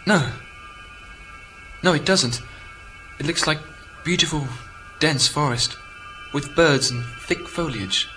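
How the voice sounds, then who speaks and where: Radio drama: stranded British astronaut, male actor, high for a man, lonely, agitated and incredulous, strong music bed. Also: takes it slowly, pauses often, bright, forceful tone, rough recording. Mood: anxious, disbelieving. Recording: prominent music behind the voice.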